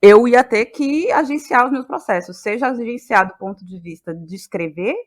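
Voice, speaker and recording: A woman's voice: fairly high pitch, normal speed. A woman in her forties with a Bahian Brazilian accent, talking reflectively as an interview guest, building points emphatically through repeated phrases. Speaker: guest, playwright, actress and journalist.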